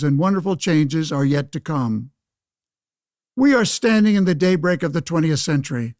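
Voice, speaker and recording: An actor playing a blustering, pompous old-time senator in a radio play, declaiming theatrically like a stump orator. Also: male voice, high-pitched, darkish tone, dry recording, clean studio sound. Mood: pompous scorn. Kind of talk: old-fashioned political oratory, pseudo-radio play acting.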